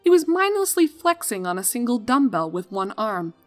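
A woman's voice: a little high, sing-song, moderate pace. Woman narrating a story over music. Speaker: fan fiction narrator. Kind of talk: expressive scripted story narration.

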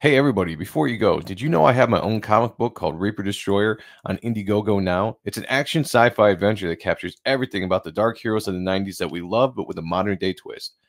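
A man hosting his own channel, giving a rambling, opinionated commentary and repeating himself, his voice going hoarse. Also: on the low side, fast, hardly any pauses, no room sound, studio quality. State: losing his voice, coughing. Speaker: comic book channel host.

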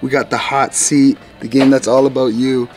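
A man's voice: mid-range voice, little pitch movement, normal speed, noisy background. A man in his thirties chatting casually to viewers as a family vlogger, upbeat and talkative, recorded on a handheld camera inside a large store. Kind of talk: casual vlog chat. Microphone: handheld camera at arm's length.

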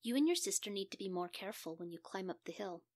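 A woman's voice: mid-range voice, animated, fast talker, dry recording, clean studio sound, lightly acted. Exasperated, caring storybook doctor acted by a woman. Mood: firm, caring. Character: exasperated, caring male fairy-tale doctor.

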